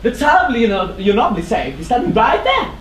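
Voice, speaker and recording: A man's voice: very high-pitched, wildly swooping pitch, normal speed, full character voice. A man playing an insistent messenger in a stage tragedy, coaxing an old friend in a theatrical manner. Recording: echoey theatre, distant mic, audience noise.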